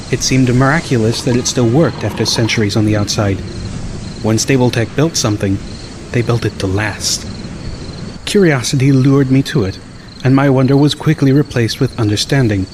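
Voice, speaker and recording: A man narrating a first-person story as an audiobook, reading in a measured, dramatic way. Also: mid-range voice, noisy background. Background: music.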